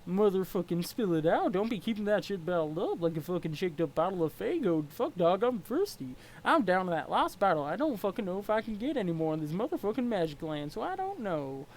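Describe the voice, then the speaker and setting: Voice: mid-range voice, sing-song, fairly quick, hardly any pauses, a little mellow, slight background noise. A reader voicing a laid-back, foul-mouthed male troll clown with a lazy drawl. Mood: mellow.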